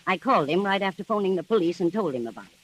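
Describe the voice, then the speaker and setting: An actress playing an accusing, grimly certain household employee in a 1940s radio murder drama. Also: female voice, lowish voice, animated, racing, a bit bright, slightly rough, poor audio. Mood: grim, shaken.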